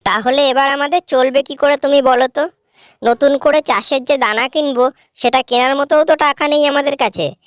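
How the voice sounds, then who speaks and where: A woman's voice: high-pitched, normal speed, no echo, clean studio sound, lightly acted. A woman voicing an anxious village farmer's wife in a cartoon, with a questioning manner. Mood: worried.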